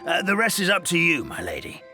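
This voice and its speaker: A loyal old servant with a fairly high voice that swoops far up and down as he speaks. His manner is courtly and deferential, and game music plays clearly behind him. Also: wildly swooping pitch, a little fast. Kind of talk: video game cutscene dubbing.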